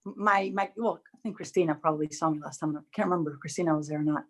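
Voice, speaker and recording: Chatty woman in her forties, low voice. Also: rapid-fire. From Dominican Republic. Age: 40s. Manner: lively, chatty storyteller with many fillers. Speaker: former college tennis player. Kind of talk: casual video call interview.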